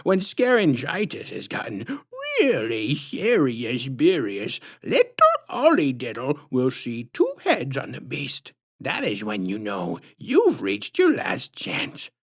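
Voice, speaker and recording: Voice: mid-range voice, wildly swooping pitch, takes it slowly, hardly any pauses, breathy edge, heavily acted. A narrator voicing a huge, sinister female clown who talks in sickly sweet baby-talk rhymes. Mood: sickly sweet menace. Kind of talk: storybook reading.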